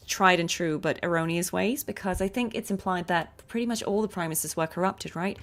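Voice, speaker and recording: A woman streamer chatting casually over gameplay, with informal, playful asides. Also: mid-range voice. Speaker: streamer and voice actress. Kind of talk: casual livestream chat with gameplay commentary.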